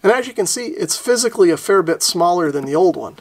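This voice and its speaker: Repair tutorial host: American male, forties, higher-than-average pitch, casual explanatory delivery. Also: expressive, fast talker. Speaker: host of a radio repair channel. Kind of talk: instructional narration of an electronics repair.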